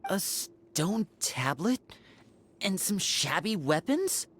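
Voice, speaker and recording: A woman's voice: on the low side, big pitch swings, slow, slight background noise. A woman voicing a practical young female adventurer in a video game, plain-spoken and questioning. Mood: curious. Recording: faint music behind.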